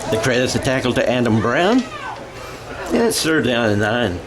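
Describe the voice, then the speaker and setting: An American man giving live radio-style play-by-play of a football game, halting and correcting himself as he goes. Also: mid-range voice, big pitch swings, a crowd in the background. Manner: halting, self-correcting as he calls plays. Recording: clear background noise of a ballgame.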